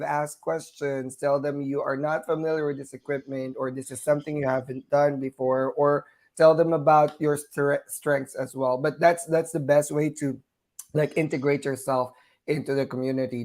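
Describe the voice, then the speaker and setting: A nurse from the Philippines with a Southern US twang, a man talking chattily as an interview guest, with frequent fillers and laughs between phrases. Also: fairly high pitch. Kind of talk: conversational interview.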